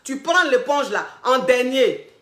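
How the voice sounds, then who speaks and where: A woman with a slight head cold, giving coaching advice on a live stream, repeating herself and bursting out loudly on key points. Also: high voice, animated, unhurried, echoey room. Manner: emphatic and repetitive, loud bursts on key points. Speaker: relationship coach.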